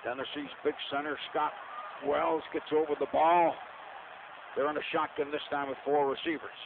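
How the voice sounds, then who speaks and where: A man's voice: a little high, flat delivery, normal speed, vocal fry. A man calling American football play-by-play live on the radio, rooting for his team in short, clipped phrases. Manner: partisan, clipped fragmentary phrases. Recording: lo-fi radio broadcast, narrow band, loud noise.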